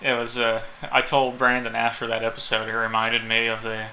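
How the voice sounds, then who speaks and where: A man with a bright, forward voice chats casually as a podcast co-host. He starts and restarts haltingly and chuckles often, over faint background noise. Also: medium pitch, monotone, poor audio.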